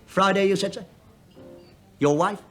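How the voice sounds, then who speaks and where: An actor playing a sly, penny-pinching British police inspector in a radio play, wry and mock-deferential, feigning absent-mindedness. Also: male voice, high voice, a little slow, fairly bright tone, faint music behind. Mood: sly needling.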